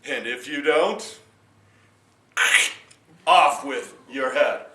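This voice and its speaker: A man voicing a loud, jolly, demanding king who laughs heartily and drags out his threats, recorded in a roomy space from a distance. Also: mid-range voice, very slow. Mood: jovial. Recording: roomy echo, distant mic.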